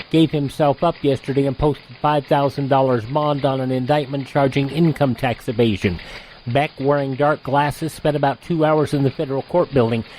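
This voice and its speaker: A man's voice: on the high side, darkish tone, slight background noise, rough recording. A man reading scripted news headlines as a radio presenter.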